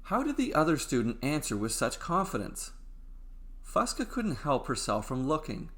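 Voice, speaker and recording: A man narrating a scripted story in a dramatic, deliberate way, with pauses between phrases. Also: fairly high pitch, huge pitch swings, fairly bright tone.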